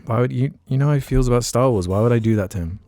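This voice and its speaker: A man's voice: mid-range voice, racing, dark tone, no echo. A man co-hosting a casual podcast, joking and laughing often while reading out listener questions. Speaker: podcast co-host. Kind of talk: casual chat.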